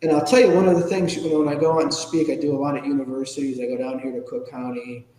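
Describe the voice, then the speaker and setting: A man's voice: medium pitch, level tone, fast talker, mellow. An American man giving candid, informal, reflective answers as a podcast guest. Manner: candid, informal, occasionally salty. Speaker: podcast guest, ethics trainer and public speaker. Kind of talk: reflective personal interview answers. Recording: echoey room with long reverb.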